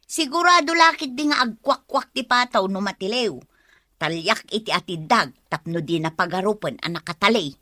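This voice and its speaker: A woman's voice: medium pitch, lively intonation, moderate pace, sharp tone, no room sound, studio quality. A woman playing a blunt, questioning village woman in an Ilocano comedy audio drama, speaking with an Ilocano Filipino accent. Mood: plotting. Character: sharp-tongued village woman, questioning and practical.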